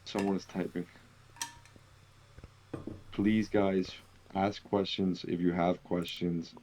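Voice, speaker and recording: A man co-hosting a live trading stream, urging viewers to ask questions in a slow, coaxing way. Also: low voice, little pitch movement, warm tone, slight background noise. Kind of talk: casual live trading lesson.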